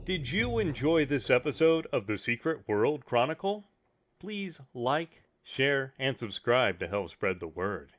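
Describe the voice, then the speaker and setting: A man's voice: medium pitch, very animated, unhurried, soft background music. A man reading a scripted outro announcement as a podcast announcer.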